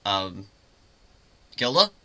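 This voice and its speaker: A man's voice: low voice, sing-song, drawn-out words, sharp tone, dry recording, pitched below the performer's own voice, heavily acted. A man voicing a brash, sarcastic young male griffin who leads with blunt, sardonic remarks. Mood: dumbfounded.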